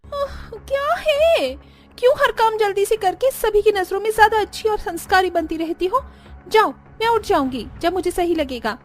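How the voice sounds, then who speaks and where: A woman's voice: very high voice, lively intonation, moderate pace, pitched above the performer's own voice. A woman voicing a spoiled, irritable younger sister and new bride in a cartoon story, whiny and defiant. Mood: irritated. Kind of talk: Hindi cartoon story acting.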